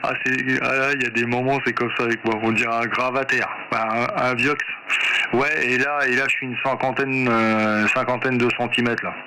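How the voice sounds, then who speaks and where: A man's voice: medium pitch, little pitch movement, fairly quick. A man talking casually as an amateur radio operator in a hesitant, rambling, colloquial way, heard through a two-way radio. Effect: two-way radio transmission. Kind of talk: casual radio contact chat. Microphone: radio microphone. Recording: noisy radio transmission with clicks.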